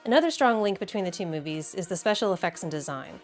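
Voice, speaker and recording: A woman's voice: on the low side, lively intonation, fast, soft background music. A woman hosting a video essay in a casual, conversational and enthusiastic way, with wry asides.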